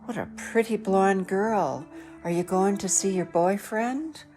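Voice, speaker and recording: A man's voice: high-pitched, unhurried, a little mellow, faint music behind. A woman voicing a talkative, friendly middle-aged male taxi driver with an Australian accent. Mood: teasing.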